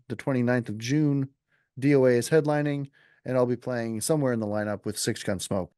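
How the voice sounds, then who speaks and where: A man from eastern Canada hosts a casual podcast, talking in a loose, unhurried way with plenty of fillers and easy laughter. His voice sits mid-range for a man and the sound is dry, with no room around it. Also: medium pitch, a little mellow, no echo. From New Brunswick, Canada. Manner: easygoing, many ums and uhs, laughs often. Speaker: podcast host. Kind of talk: casual interview chat.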